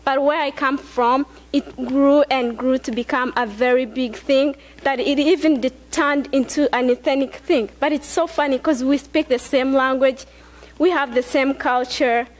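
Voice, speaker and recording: A young woman from Rwanda speaks on a large auditorium stage. Her high voice stays earnest and conversational, with plenty of fillers and restarts. A headset mic picks her up close. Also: fairly even intonation, normal speed. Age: young adult. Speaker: student speaker. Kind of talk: personal talk on stage.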